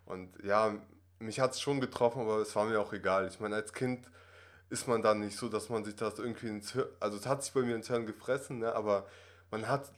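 A man's voice: fairly low pitch, fairly even intonation, a little fast. A man in his twenties who grew up in Russia, talking casually as a podcast guest, rambling with many fillers and tag questions. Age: 20s. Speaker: acting student guest.

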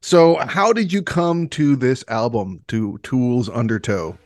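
A man's voice: medium pitch, takes it slowly, dry recording, soft background music. A middle-aged man from Michigan with an American accent, hosting a podcast in a casual conversational style. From Michigan, United States. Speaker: podcast host. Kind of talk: casual chat.

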